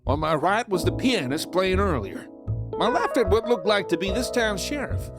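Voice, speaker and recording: A man's voice: sits high, lively intonation, moderate pace, loud music behind the voice. A man playing a sly outlaw newcomer in a Western audio drama, narrating and chatting in a casual, wry way. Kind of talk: first-person narration and dialogue in audio drama.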